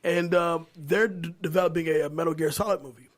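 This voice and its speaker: A middle-aged American man chatting casually on a podcast, animated and slangy in his delivery. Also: on the high side, fairly quick. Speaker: podcast panelist. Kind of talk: casual chat about movies.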